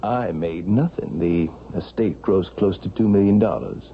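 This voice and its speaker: A muffled vintage radio recording with faint hiss holds a stiff male lawyer. His voice is deep with heavy fry. He speaks formally and guardedly, giving away no feeling, in 1970s radio drama style. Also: moderate pace. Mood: guarded, evasive. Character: stiff, emotionless, evasive lawyer son-in-law.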